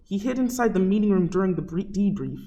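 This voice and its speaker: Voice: mid-range voice, expressive, fast talker, warm tone, highs cut off, close to an everyday voice. A performer playing an apologetic scientist father in a radio play, earnest, pleading and conciliatory. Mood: explaining.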